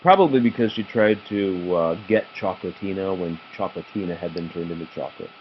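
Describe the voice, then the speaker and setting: A man reading a children's story aloud in an animated style. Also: sits low, fairly quick. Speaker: storybook reader. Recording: dull home recording, faint noise.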